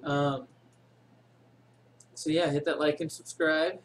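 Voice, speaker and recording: A man's voice: a little high, little pitch movement, takes it slowly, slight background noise. A man casually narrating a screen-recorded game tutorial, rambling with many ums and self-corrections, in a voice he calls boring. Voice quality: says he has a boring voice. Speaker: game tutorial channel host.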